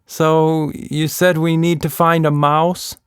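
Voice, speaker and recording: A man's voice: a little high, level tone, slow, clear, resonant tone, dry recording, studio quality, pitched above the performer's own voice. A man voicing a curious, plucky young girl in a children's adventure story, with a questioning, exclaiming manner.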